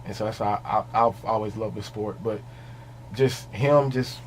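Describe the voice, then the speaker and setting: Upbeat young podcast host. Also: male voice, fairly low pitch, moderate pace, slight background noise. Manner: upbeat, animated questioner. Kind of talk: casual podcast interview. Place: small podcast studio with wall panels. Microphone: studio mic on arm, wearing headphones.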